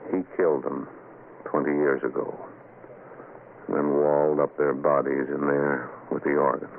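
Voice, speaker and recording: An actor playing a shaken husband in a radio horror play, speaking in a halting, dramatic way. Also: male voice, a bass voice, little pitch movement, creaky. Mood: grim awe. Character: Chuck, shaken husband in a haunted cabin. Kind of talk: old-time radio horror acting. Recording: muffled, noisy old radio recording.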